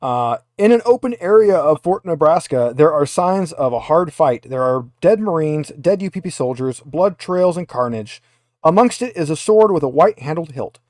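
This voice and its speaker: A man's voice: fairly high pitch, lively intonation, moderate pace, darkish tone, no echo, clean studio sound. A man working as game master, informally narrating and explaining a tabletop roleplaying game.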